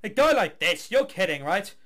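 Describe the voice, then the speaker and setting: A man's voice: sits high, sing-song, moderate pace, fairly bright tone, full character voice. A man voicing a brusque, defiant young woman who leads an orchestra, curt and commanding. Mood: scornful.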